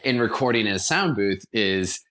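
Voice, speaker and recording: A man speaking as a guest actor, explaining things casually like an animated storyteller. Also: fairly low pitch, normal speed. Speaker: guest, actor and theater producer.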